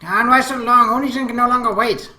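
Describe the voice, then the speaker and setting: A man's voice: very high-pitched, fairly even intonation, brisk, pushed and bright, voice pushed up, exaggerated voice. A man voicing a bratty, clingy younger sister in an anime visual novel, whiny and demanding. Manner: whiny, demanding, refers to self by name. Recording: roomy echo.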